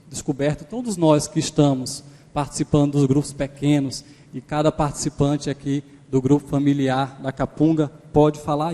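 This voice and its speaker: A man in his thirties from Pernambuco, with a Pernambuco accent tinged by Maranhão, preaching as a guest with warm personal stories. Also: on the high side. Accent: Pernambuco Portuguese mixed with Maranhão. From Pernambuco, Brazil. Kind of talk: sermon. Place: large church sanctuary. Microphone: handheld microphone. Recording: church hall reverb.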